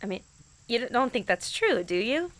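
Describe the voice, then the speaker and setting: A young woman playing a skeptical, down-to-earth teenage high schooler in a fantasy audio drama, casual, hesitant and questioning. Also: sing-song, moderate pace, a bit bright. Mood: skeptical, uneasy.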